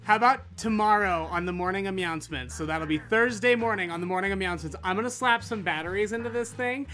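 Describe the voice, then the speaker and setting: A man's voice: high-pitched, fast talker, sharp tone, faint music behind. A man in his late twenties with an American accent, hosting a toy unboxing in a gushing, theatrical way into a desk microphone. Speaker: host of a toy unboxing show. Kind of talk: excited unboxing chat to camera. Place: small room full of toy shelves, indoors. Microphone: desktop USB condenser microphone.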